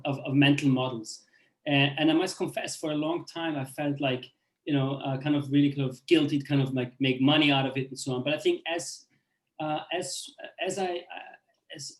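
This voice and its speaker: A man in his forties who grew up in Austria, telling his own story on a panel in a halting way, with many restarts and fillers. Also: fairly high pitch. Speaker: speaker on a panel. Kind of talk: personal story in a talk. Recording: roomy echo.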